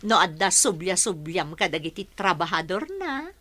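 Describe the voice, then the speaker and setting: A woman's voice: fairly low pitch, very animated, moderate pace, pushed and bright, exaggerated voice. An actress playing a teasing, gossipy village woman in an Ilocano radio drama, with an Ilocano accent. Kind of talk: Filipino radio drama acting.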